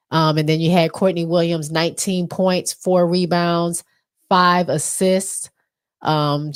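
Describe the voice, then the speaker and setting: A woman with an American accent, stuffy from allergies, casually recapping basketball stats with lots of ums. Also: deep voice. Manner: reads stats with many fillers. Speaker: podcast co-host.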